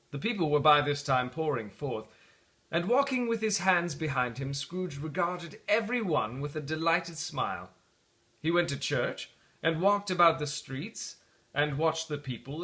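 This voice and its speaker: A man narrates a storybook in a theatrical read-aloud style. His voice sits a little high for a man and moves in lively swings, with a bright, forceful tone. The phrasing is deliberate and dramatic. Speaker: storybook reader.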